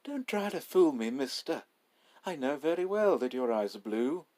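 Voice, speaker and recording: Storybook mugger, played by a male narrator: raised pitch, sweet pained tone, courteous and coldly threatening. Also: big pitch swings, normal speed, a little mellow. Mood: polite menace. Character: soft-voiced male knife-wielding robber, polite yet menacing.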